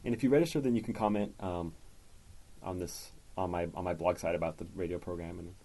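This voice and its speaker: A man's voice: low voice, fast. A man hosting a podcast, chatting casually and reading out listener questions. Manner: relaxed and chatty, reads listener mail aloud. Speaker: podcast host.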